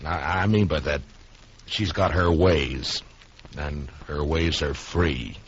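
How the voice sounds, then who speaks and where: A man playing an easygoing, patient Old West marshal in a radio western, with a husky voice and a halting, folksy, placating manner. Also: a bass voice, slight background noise, heavily acted. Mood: amused, placating. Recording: dull lo-fi recording.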